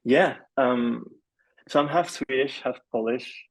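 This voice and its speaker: A man's voice: medium pitch, takes it slowly, rough recording. A man from Malaysia telling stories casually as an interview guest, in a rambling, hesitant way full of filler phrases. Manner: rambling, hesitant, peppered with "like" and "you know". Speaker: podcast guest, film composer.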